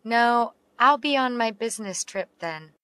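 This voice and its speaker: A woman reading short English practice dialogues aloud, slowly and carefully enunciated. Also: a little high, expressive, fairly bright tone, smooth, dry recording. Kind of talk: slow read-aloud English practice phrases.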